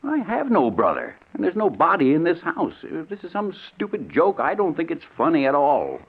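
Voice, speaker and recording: A man's voice: a little high, huge pitch swings, moderate pace, gravelly, breathy edge, exaggerated voice. An actor playing a jumpy, irritable, blustering man in an old-time radio mystery. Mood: indignant, angry. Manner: blustering, hesitant when alarmed, snappish. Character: wealthy, jumpy, irritable man hounded by vengeance. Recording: muffled, tinny old radio recording.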